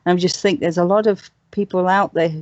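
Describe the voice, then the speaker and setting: A woman's voice: fairly low pitch, fairly even intonation, fairly quick, darkish tone, dry recording. A woman speaking as an enthusiastic guest in a casual online panel discussion. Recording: dull, lo-fi online voice call.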